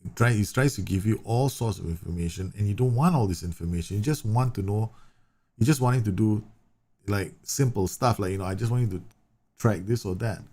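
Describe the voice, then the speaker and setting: A man's voice: on the low side, fairly quick, dark tone. A man from Singapore livestreaming a football management game, chatting and explaining tactics to his viewers with frequent fillers and restarts. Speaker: streamer. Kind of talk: live game commentary answering chat.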